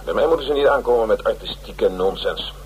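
An actor playing a pompous, self-pitying older theatre director in a radio drama, speechifying. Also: male voice, lowish voice, lively intonation, brisk, a little hiss or hum, close to an everyday voice, poor audio. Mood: grandly earnest.

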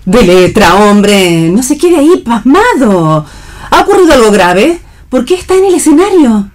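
A woman plays an upper-class mother in a read radio play. She is outraged and protective, her highish voice very animated. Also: unhurried. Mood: alarmed. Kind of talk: staged play reading for radio.

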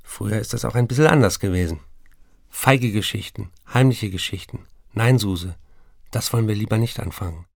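Low, creaky confessional writer. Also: male voice, normal speed, no echo. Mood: confiding. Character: dreamy, confessional male writer addicted to morphine. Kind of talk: literary radio play monologue. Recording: studio voice with music behind. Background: music.

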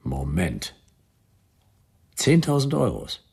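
An actor playing a wry, stubborn private detective in a German radio drama, dry and sardonic with a husky voice. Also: male voice, sits low, huge pitch swings, slow, a little mellow, heavily acted. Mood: dry, wary. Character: Jonas, wry, stubborn hard-boiled male private detective.

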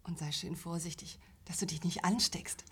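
A woman's voice: fairly low pitch, fairly even intonation, normal speed, breathy edge, in a whisper. An actress playing a flustered, over-earnest middle-aged mother in a radio play, trying hard to sound tolerant and stumbling as she goes. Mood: anxious, pleading.

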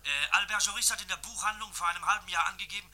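An actor playing an eager, dutiful police assistant who reports briskly to his boss in a radio crime drama. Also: high-pitched, fairly even intonation, pushed and bright.

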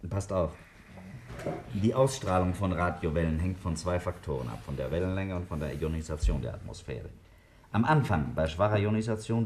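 A deep-voiced scientist takes charge in a 1950s German radio play. He explains with measured confidence, his pitch moving freely as he lectures. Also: a little mellow. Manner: didactic, deliberate explaining.

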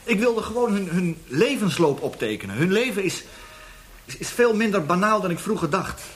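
A man's voice: high-pitched, very animated, moderate pace, a bit bright. An actor playing a nervous bank clerk held prisoner in a radio play, hesitant and stammering as he protests indignantly. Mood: earnest. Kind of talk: radio drama acting.

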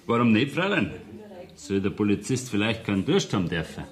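Lively low-voiced Bavarian policeman. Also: brisk. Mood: genial, casual. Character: off-duty Bavarian policeman, stiffly genial, then stern. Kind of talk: 1970s German radio play acting.

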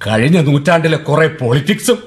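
A man's voice: on the high side, lively intonation, brisk, faint music behind, lightly acted. An actor playing a defiant young man who mocks his family with bitter laughs. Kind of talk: 1970s radio drama acting.

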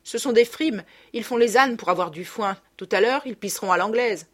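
A woman's voice: a little high, a little fast, voice pushed up, lightly acted. A woman voicing a suspicious, grumbling old male restaurant waiter with a scornful manner.

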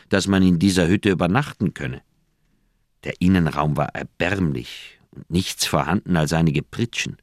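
Radio drama: grave older male doctor, very low voice, close dry sound, deliberate delivery of a haunted recollection. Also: dry recording. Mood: uneasy, grave. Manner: measured, deliberate, literary phrasing with dramatic pauses. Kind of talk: German radio play monologue, recollection.

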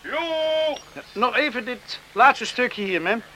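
A man's voice: extremely high pitch, expressive, slow, pushed and bright, heavily acted. A male actor playing an eager, hardworking and confident young farmer's son in a radio play with some background noise. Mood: cheerful, determined. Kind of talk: radio drama dialogue. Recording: noisy old radio play recording.

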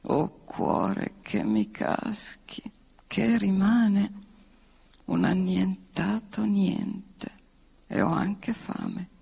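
A woman declaims poetry in a theatrical style with extremely slow, deliberate delivery. Her voice is husky, and it carries through a long hall reverb. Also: mid-range voice, very animated, warm tone. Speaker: poet reading own work. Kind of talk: theatrical verse recitation.

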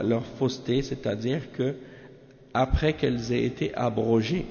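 A man with a cold, teaching a religious commentary and explaining it in halting, restarting French. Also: mid-range voice, vocal fry, a little mellow. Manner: halting, many restarts and fillers. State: has a cold. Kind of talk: reading Arabic text, then explaining in French. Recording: muffled roomy lo-fi recording.

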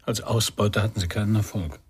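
A man's voice: on the low side, fairly even intonation, fast, slight background noise, close to an everyday voice. A man acting in a German radio crime drama as a sceptical, brooding, probing investigator. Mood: suspicious.

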